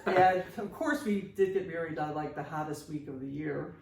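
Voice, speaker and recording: A woman's voice: sits low, normal speed, gravelly, darkish tone, a little hiss or hum. A woman in her sixties co-hosting a podcast, telling stories casually with a playful, teasing manner in a roomy recording made at some distance from the mic. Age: 60s. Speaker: podcast host. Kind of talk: casual conversational storytelling.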